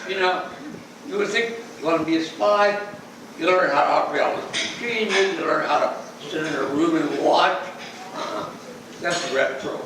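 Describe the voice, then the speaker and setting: A man's voice: a little high, normal speed, close to an everyday voice. A man improv player acting as a bemused husband, telling the story wryly. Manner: wry, storytelling. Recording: echoey hall from far off, loud noise.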